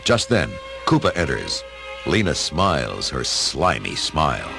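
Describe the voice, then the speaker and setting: A man's voice: rumbling low, very animated, a little slow, gravelly. A man giving dramatic scripted narration as the narrator of a radio play. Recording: music behind the voice.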